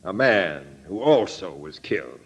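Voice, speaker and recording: Commanding police captain with animated delivery. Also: male voice, low voice, measured pace, breathy edge, full character voice. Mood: dry, probing. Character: brisk, commanding, no-nonsense male police captain. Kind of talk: 1940s radio crime drama acting. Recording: faintly noisy old radio recording.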